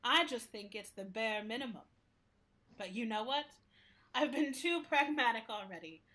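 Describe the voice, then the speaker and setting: A woman's voice: fairly high pitch, animated, moderate pace, bright, forceful tone. A woman playing an earnest, caring library building that talks like a person in a conversational radio play. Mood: thoughtful, passionate. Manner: earnest, reflective, politely opinionated. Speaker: actor playing the interview guest.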